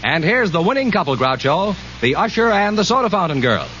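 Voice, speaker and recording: High, animated male 1940s radio announcer. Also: fairly quick, loud music behind the voice. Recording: dull old 1940s radio recording.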